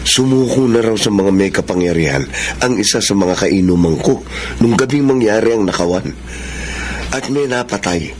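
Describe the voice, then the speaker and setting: A man's voice: fairly low pitch, animated, normal speed, slight background noise, natural voice. An actor playing a humble, grateful middle-aged father in prison, in a Filipino radio drama, speaking sincerely. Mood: hopeful.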